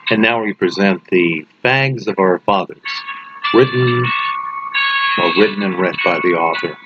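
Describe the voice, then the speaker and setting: A man reading his own story aloud as a dramatic narration, with dark humour and wry, deliberate phrasing. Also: deep voice, muffled recording, very noisy. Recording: loud music under the voice. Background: prominent background music.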